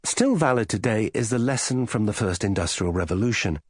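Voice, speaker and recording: A man narrating a non-fiction audiobook in a measured, formal, carefully phrased way. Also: fairly low pitch, animated, darkish tone, slightly rough, no echo, studio quality.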